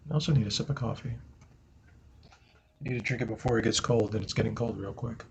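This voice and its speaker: A man with a naturally low voice chatting casually as he streams a game, often asking "right?" and addressing viewers as guys. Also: quick pace, a little mellow, slight background noise. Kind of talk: casual gameplay commentary. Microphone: desk mic he moves around.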